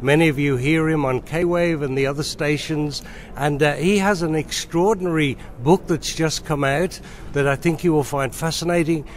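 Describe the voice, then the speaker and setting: A man's voice: fairly high pitch, fairly even intonation, moderate pace. A man from Nigeria hosting an interview, asking friendly questions and answering with frequent "yeah" backchannels. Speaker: interview host. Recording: faint background noise.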